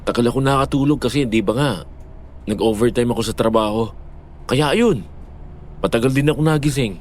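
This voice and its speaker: A man playing a caring, patient young boyfriend who reasons gently and earnestly in an audio drama. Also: medium pitch, fairly quick, a little hiss or hum, lightly acted. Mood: affectionate, easygoing. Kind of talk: Filipino audio horror-story drama acting.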